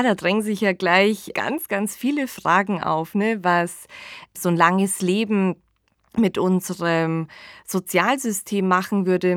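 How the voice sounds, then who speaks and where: Lowish-voiced woman, dry studio sound. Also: no echo. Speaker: podcast host. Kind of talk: conversational podcast discussion.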